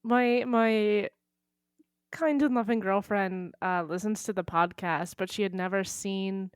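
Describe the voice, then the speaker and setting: Woman podcast co-host. Casual joking chat, wry, quick to giggle, dry recording. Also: medium pitch, clean tone, no room sound.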